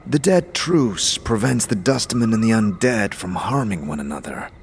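A man's voice: fairly low pitch, animated, moderate pace, a little hiss or hum. A man playing a dry, matter-of-fact adventurer who leads his companions in an audio drama. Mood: businesslike. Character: dry, steady male adventurer leading companions. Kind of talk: audio drama character acting.

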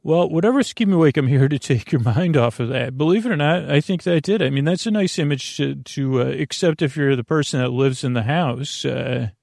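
A man with a creaky voice hosting a bedtime podcast, soothing and whimsical, meandering and halting as he interrupts himself. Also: a little high, a little mellow, no echo, studio quality. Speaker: podcast host. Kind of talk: rambling bedtime monologue.

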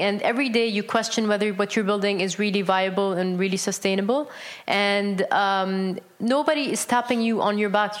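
Earnest woman, founder, rambling answers. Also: medium pitch. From Palestinian. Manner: earnest, rambling, many fillers and restarts. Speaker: business founder, interview guest. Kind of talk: conversational interview answers.

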